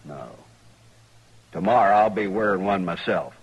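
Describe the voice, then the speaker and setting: An actor playing a bitter, vengeful husband in a radio western, with a menacing rural western American drawl. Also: male voice, low voice, animated, measured pace, husky, muffled recording, exaggerated voice. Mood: threatening. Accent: rural American West. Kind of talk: old-time radio western acting.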